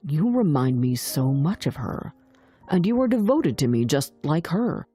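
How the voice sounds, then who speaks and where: A woman voicing a tender, devoted young prince in a fairy tale, speaking softly and earnestly. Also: deep voice, sing-song, measured pace, slightly rough, soft background music, full character voice. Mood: tender, grateful. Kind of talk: fairy tale audiobook dialogue.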